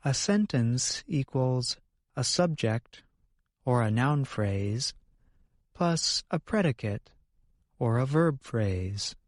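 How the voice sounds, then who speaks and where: A man's voice: mid-range voice, animated, slow pace, dry recording, clean studio sound. A man co-hosting an educational podcast, reading scripted explanations in a careful, explanatory manner. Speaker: co-host of an English lesson podcast.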